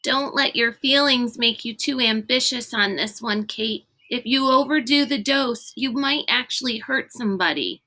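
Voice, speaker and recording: Woman reading as an earnest, bright-voiced young boy. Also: fairly high pitch, normal speed, hardly any pauses, voice pushed up. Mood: cautioning. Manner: earnest, cautious. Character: earnest, conscientious young boy, easily discouraged.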